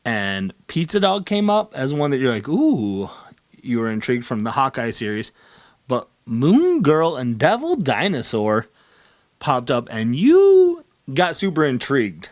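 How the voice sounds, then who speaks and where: A man's voice: mid-range voice, huge pitch swings, measured pace. A man hosting a video channel, chatting casually and enthusiastically as he interviews his co-host.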